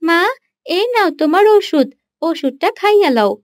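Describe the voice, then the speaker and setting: Woman playing a high-voiced, humble village girl. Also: animated, moderate pace, no echo, studio quality. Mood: tender, worried. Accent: rural Bangladeshi. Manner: plaintive, humble. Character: poor, dutiful village girl, pleading and sorrowful.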